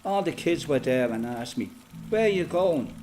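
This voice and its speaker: A man with an Irish accent playing a resolute, plain-spoken freedom fighter giving a matter-of-fact, measured first-person testimony in a radio play. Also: on the high side, lively intonation, darkish tone, soft background music, natural voice. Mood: matter-of-fact recollection.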